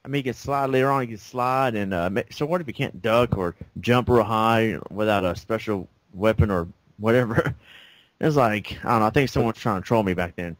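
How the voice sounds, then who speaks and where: A man's voice: lowish voice, normal speed, no room sound, low-quality recording. A man co-hosting a casual game review chat, rambling with lots of fillers and laughing often. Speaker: co-host of a game review show. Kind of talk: casual gaming discussion.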